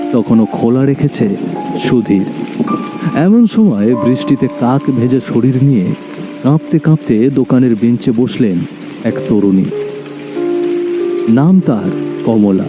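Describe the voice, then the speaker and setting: A man delivers dramatic scripted narration. His delivery is slow and solemn, each phrase set down deliberately in a medium pitch. Loud music sits behind the voice.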